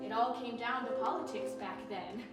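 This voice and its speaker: A woman's voice: a little high, normal speed, lightly acted. An actress performing a proud, determined frontier widow and postmistress, telling her story with resolve. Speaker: stage actor in a historical monologue. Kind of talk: theatrical monologue. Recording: roomy hall from far off, loud music behind. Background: loud music.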